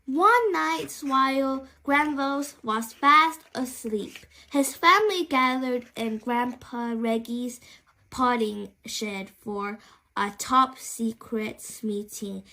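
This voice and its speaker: A woman reading a children's bedtime story aloud, haltingly, with small stumbles and repeated words. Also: sits high, sharp tone.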